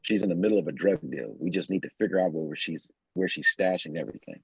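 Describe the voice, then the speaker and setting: An actor playing a cocky, smooth-talking street hustler in an audio drama, husky and gravelly, with slangy, wry delivery. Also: male voice, low-pitched, fast talker, dry recording, heavily acted, poor audio. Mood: wry, businesslike.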